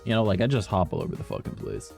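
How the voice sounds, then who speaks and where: A man streaming a game, chatting casually and swearing a lot, his voice rough today, swinging between low mumbles and loud bursts. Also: sing-song, rapid-fire, faint music behind.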